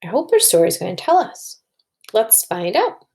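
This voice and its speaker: A woman's voice: on the high side, huge pitch swings, moderate pace, warm tone, slight background noise. A woman teacher doing a children's read-aloud and story discussion, thinking aloud, recorded indoors at a computer.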